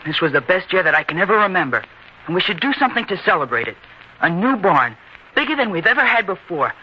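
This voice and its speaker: A man's voice: high voice, big pitch swings, fast, sharp tone, exaggerated voice. A man acting a proud, headstrong farm boy in an old-time radio drama, earnest and declamatory in his insistence. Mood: determined. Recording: dull, lo-fi radio recording with hiss.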